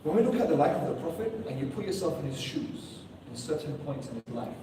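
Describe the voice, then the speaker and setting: A man's voice: on the high side, big pitch swings, quick pace, noisy background. A man giving a sermon to a room of listeners, earnest and full of rhetorical questions, now and then reciting Arabic verses. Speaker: preacher. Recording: echoey hall, distant mic.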